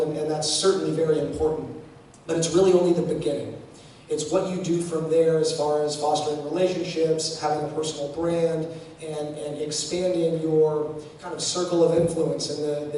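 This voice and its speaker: A man speaks to a student audience in a loose, conversational way, stumbling into restarts and fillers. His tone is mellow and a touch higher than most men's. He is heard from a distance in a very echoey room with faint background noise. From San Francisco Bay Area. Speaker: panel speaker.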